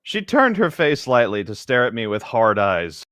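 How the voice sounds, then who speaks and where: A man's voice: mid-range voice, big pitch swings, normal speed, fairly bright tone, dry recording. Man in casual comic banter, close dry sound. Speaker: podcast co-host reading a novel aloud with commentary. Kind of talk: casual comedic read-along banter.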